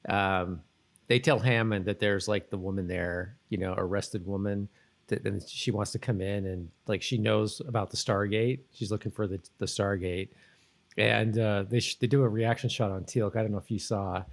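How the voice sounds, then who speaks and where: Male podcast co-host, low voice, loose conversational recap style, thinks out loud in halting phrases. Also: steady tone, vocal fry. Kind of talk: casual chat recapping a TV episode.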